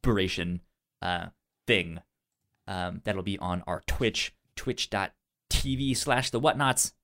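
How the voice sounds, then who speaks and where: A man's voice: sits low, a bit bright. Stammering man hosting a casual podcast. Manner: halting, stammers and repeats syllables. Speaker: podcast co-host. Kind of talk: casual movie podcast chat.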